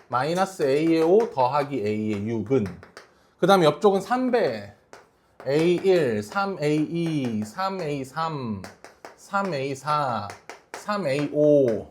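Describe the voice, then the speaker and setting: A math teacher with a mid-pitched voice leads a class through worked problems. The delivery is informal and conversational, full of short questions to students to check that they are keeping up. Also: sing-song, slow pace, slight background noise. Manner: casual, informal, checks understanding often.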